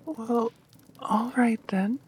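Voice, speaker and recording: A woman voicing a kind young princess in a soft whisper. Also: on the high side, drawn-out words, slightly rough, pitched above the performer's own voice, heavily acted. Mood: polite.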